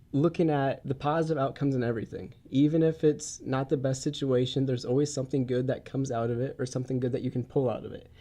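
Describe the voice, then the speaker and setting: A man's voice: a little high, dark tone. A young man hosting a podcast in a casual, informal way, congested from a head cold. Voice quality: congested, stuffy nose. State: getting over a head cold. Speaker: podcast host. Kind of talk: casual podcast introduction and interview. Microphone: podcast studio mic, wearing face mask or shield.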